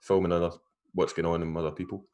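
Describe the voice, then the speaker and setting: A man's voice: sits low, level tone, fast talker, darkish tone, dull audio. A Scottish man, a guest vape reviewer, chatting casually on a video call and rambling with frequent restarts. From Scotland. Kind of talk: casual chat.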